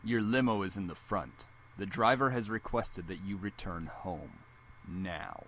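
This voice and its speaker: A man's voice: deep voice, lively intonation, measured pace, a bit bright, a little hiss or hum, exaggerated voice. A man voicing a stern, curt father and company boss in a visual novel. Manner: curt, commanding.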